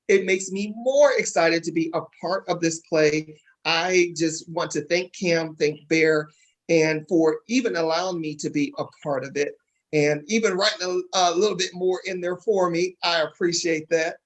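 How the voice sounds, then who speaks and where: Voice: medium pitch, low-quality recording. A show host interviewing a cast and promoting a stage play in a conversational way.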